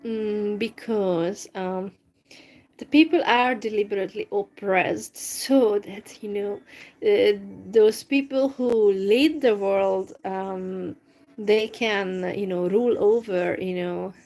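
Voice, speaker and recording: A woman with a non-native English accent chatting on a live stream, hesitant and full of fillers, breaking into soft laughs. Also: medium pitch, slow. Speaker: livestream host. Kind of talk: casual live-stream chat, reading messages. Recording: noisy background.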